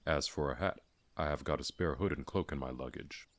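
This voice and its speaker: Gruff deep practical dwarf done by a man. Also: a little fast, dry recording. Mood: curt, practical. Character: gruff, practical male dwarf, curt but helpful.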